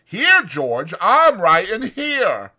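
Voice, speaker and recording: Guy doing a whiny childlike grown farmhand, needy, slow, pitched up, audiobook drama. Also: wildly swooping pitch, pushed and bright, heavily acted. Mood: excited. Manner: whining, plaintive. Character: childlike, simple, needy grown ranch hand.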